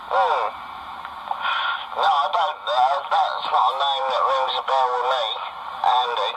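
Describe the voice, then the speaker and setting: A man chatting casually over two-way radio in a hesitant, measured way. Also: medium pitch. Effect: two-way radio transmission. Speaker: amateur radio operator. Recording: very noisy CB radio recording.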